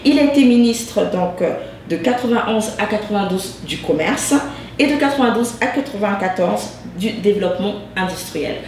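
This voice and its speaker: A woman's voice: medium pitch, lively intonation, moderate pace, a little mellow. A woman hosting a web TV interview, asking questions with many hesitations and restarts. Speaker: host. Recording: echoey, distant mic with hum.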